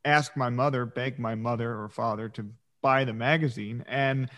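A man's voice: medium pitch, steady tone, normal speed, fairly bright tone. A man co-hosting a casual talk show, making joking asides and plugs. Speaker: co-host. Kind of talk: casual podcast chat.

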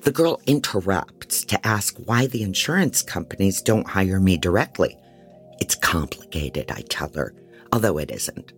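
An actress playing a scattered, jaded woman private detective who narrates bluntly in a deep, husky voice, as in a noir audio drama. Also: lively intonation, unhurried, soft background music, full character voice. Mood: dry, distracted. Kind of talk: first-person scripted noir narration.

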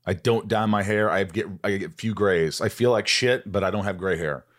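A man in his late forties hosting a podcast, friendly, expressive and jokey. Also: fairly low pitch, a little fast. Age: 40s. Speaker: podcast host. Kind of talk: casual interview chat.

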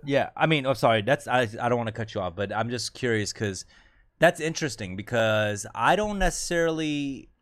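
A man's voice: mid-range voice, animated, brisk, fairly bright tone. A man hosting a podcast, asking curious questions in jokey casual chat. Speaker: podcast host.

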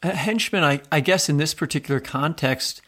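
A man's voice: fairly high pitch, fairly quick, fairly bright tone, husky. A man co-hosting a conversational literary podcast, reasoning aloud in a tentative way as he interviews.

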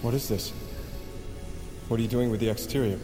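A man's voice: medium pitch, fairly even intonation, a little fast, over music, lightly acted. Man acting an indignant captive in echoing hall. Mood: incredulous. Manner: incredulous, reproachful. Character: indignant, principled man challenging a reckless villain. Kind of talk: audio drama acting. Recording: long hall-like reverb.

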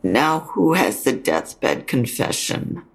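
An actress playing a frank, consoling older mother near death in an audio drama, speaking slowly and haltingly with a husky, creaky voice. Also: rumbling low, very animated, full character voice. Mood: weary, insistent. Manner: slow, halting, probing. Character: dying mother, frank, consoling, stubbornly insistent.

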